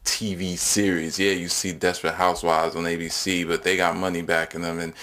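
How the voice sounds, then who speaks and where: Deep, fairly even-toned male director. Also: a little fast. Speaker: director and writer. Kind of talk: casual behind-the-scenes interview.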